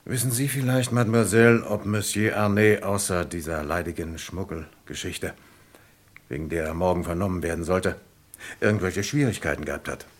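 A man's voice: low voice, steady tone. A man playing a courteous, probing police inspector who hums thoughtfully in an old German radio crime drama. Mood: probing, calm. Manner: deliberate questioning with thoughtful hums.

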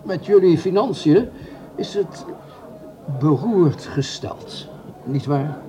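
A man acting in a radio play as a smooth, scheming gentleman doctor who speaks formally and courteously, with sly pauses. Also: on the high side, animated.

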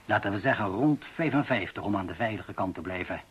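An actor playing a precise, self-assured linguistics professor in a radio play, measured and pedantic. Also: male voice, fairly low pitch, animated, slightly rough. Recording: dull lo-fi radio drama recording.

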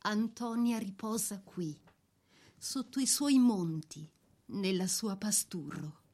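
A woman reads a scripted life story for radio. Her voice sits at a middle pitch. She is solemn and measured, setting short phrases apart with deliberate pauses. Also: a little mellow. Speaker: narrator. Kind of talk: scripted dramatic biographical narration for radio.

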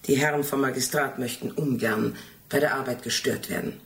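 An actress playing a stern, rule-bound archive gatekeeper in a radio play, formal and measured. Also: female voice, extremely low pitch, fairly even intonation.

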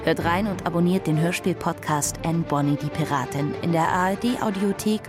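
A woman's voice: on the low side, normal speed, lightly acted. An actress playing a proud, defiant Caribbean pirate woman, bold and provocative, in a dramatised podcast trailer. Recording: music behind the voice.

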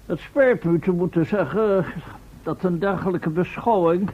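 An actor playing a sceptical, courteous professor in a radio play, speaking in a stiffly polite, argumentative, learned way. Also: male voice, high voice, normal speed, husky. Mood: sceptical, formal. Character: formal, critical male professor, courteous but sceptical. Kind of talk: Dutch radio play acting. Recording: muffled vintage radio play recording.

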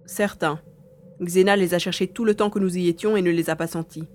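A woman's voice: lowish voice, fairly even intonation, fairly quick, a little mellow, natural voice. A woman acting a determined young boy adventurer in a French audio drama, with an earnest, dramatic delivery. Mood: pensive.

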